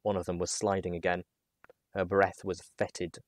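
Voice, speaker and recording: A man reading a first-person adventure story aloud as narrator, in an expressive, dramatic manner. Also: sits low, moderate pace.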